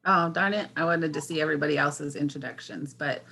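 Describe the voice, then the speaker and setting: Woman candidate, 50, from Washington State, on a video call, a bit low in pitch, halting with lots of fillers. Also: vocal fry, pushed and bright. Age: 50s. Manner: halting, many fillers and restarts. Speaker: election candidate. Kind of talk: candidate forum introduction.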